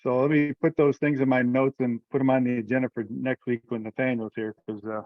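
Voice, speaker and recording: Male meeting chair, mid pitch, informal and halting, heard over dull, close-sounding conference audio. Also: little pitch movement, no room sound. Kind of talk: informal group teleconference talk. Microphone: teleconference call.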